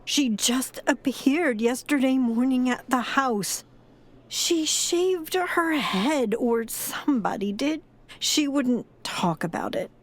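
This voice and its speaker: Grieving elderly grandmother, audio drama: tough but frail, halting and sighing, slow, higher-pitched. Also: animated, full character voice. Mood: weary, hesitant. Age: older. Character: frail, grieving, tough old grandmother and former foster mother.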